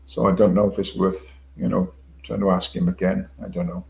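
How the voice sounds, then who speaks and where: A man's voice: deep voice, flat delivery, brisk, vocal fry, a little mellow, slight background noise, rough recording. An older man hosting a casual livestream, rambling, repeating himself, swearing casually and chuckling to his viewers. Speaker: livestream host. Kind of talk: casual solo livestream chat.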